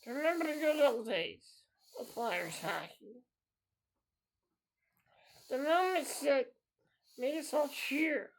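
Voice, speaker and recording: Man, 30s, podcast host, gravelly, earnest repetitive monologue. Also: very high voice, sing-song, slow, long pauses, low-quality recording. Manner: earnest and repetitive, circling back to the same phrases.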